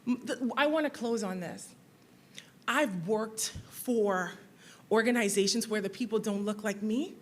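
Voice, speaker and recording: A woman's voice: mid-range voice, measured pace. A woman panelist and teacher, speaking earnestly and emphatically in a university panel discussion. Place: university lecture hall.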